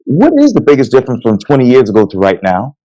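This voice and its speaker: A male interviewer talks quickly at a middle pitch. He leans on the same filler words as he sets up his questions. The sound is dry and close, like a video call. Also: huge pitch swings, mellow, no room sound, highs cut off. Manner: brisk, repeats "obviously". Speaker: interview host.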